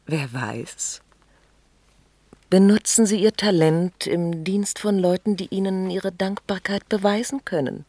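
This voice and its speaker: An actress in a German radio play voicing a haughty, calculating upper-class lady with an imperious, measured manner. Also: medium pitch, dark tone, no echo, natural voice. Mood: cool, seductive.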